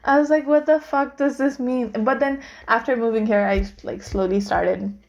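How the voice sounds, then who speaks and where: A woman's voice: a little high, steady tone, normal speed, gravelly, a little mellow, highs cut off. A young woman from Nepal with a Nepali accent, co-hosting a casual podcast and chatting with lots of "like"s. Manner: chatty, laughs often, many fillers. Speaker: podcast co-host. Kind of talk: casual conversation.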